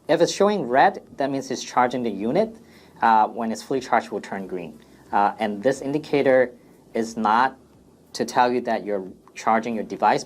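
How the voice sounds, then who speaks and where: A man presenting an informal product FAQ demonstration in a plain, step-by-step instructional way. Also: mid-range voice.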